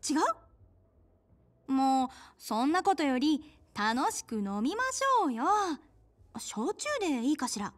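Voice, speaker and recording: Young bar hostess, high lively voice, coy, teasing, cheeky, video game drama. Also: female voice, measured pace. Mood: coy, playful. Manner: coy, teasing, casual feminine speech. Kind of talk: Japanese video game voice acting.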